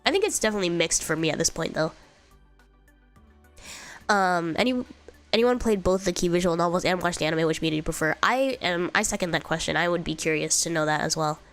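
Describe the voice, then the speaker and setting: A woman's voice: fairly low pitch, fast, fairly bright tone, faint music behind. A young woman streamer with a congested, slightly sick voice, chatting casually and rambling through comments with lots of fillers and giggles. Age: young adult. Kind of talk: casual chat reading viewer comments.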